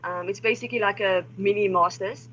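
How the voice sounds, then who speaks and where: A woman's voice: mid-range voice, steady tone, brisk, fairly bright tone. A young woman from South Africa, a wildlife research assistant, giving a friendly, informal online seminar talk. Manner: friendly, informal, with some restarts. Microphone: video call. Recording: slightly dull online call audio with faint noise.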